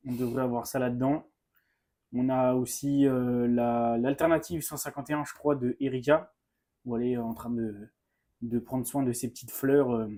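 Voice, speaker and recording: A man's voice: mid-range voice, flat delivery, moderate pace, warm tone. A man co-hosting a casual card unboxing, playful and hesitant, laughing often. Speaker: shop co-host opening card packs.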